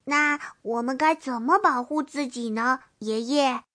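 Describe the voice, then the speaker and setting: High, squeaky little young toad. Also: a little slow, bright, forceful tone, no room sound, studio quality. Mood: worried. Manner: squeaky, wide-eyed. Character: small, excitable young toad grandson, admiring and timid.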